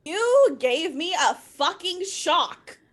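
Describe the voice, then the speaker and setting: A woman performs a melodramatic teenage goth girl with a high, swooping voice. She is indignant and sarcastic, dragging her words out slowly as in a comic fan fiction reading. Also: big pitch swings, fairly bright tone, exaggerated voice. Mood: outraged. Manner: exaggerated, drawn-out indignation.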